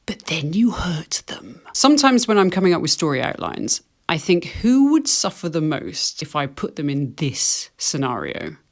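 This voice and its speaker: A woman's voice: low voice, big pitch swings, moderate pace, hardly any pauses. A woman presenting a wry video essay to camera, with dry, self-deprecating humour. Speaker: video host, fiction writer.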